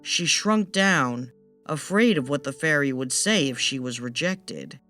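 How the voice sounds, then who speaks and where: A woman's voice: a bass voice, expressive, measured pace, a bit bright, soft background music. A woman narrating a scripted fairytale as a storyteller.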